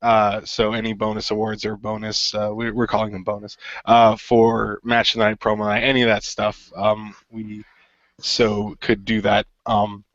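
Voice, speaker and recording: A man's voice: on the low side, completely flat delivery, moderate pace, a bit bright, no echo. A man hosting a casual talk podcast, congested from a cold, laughing often and using lots of fillers. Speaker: podcast host. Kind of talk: casual chat. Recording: muffled dry lo-fi audio.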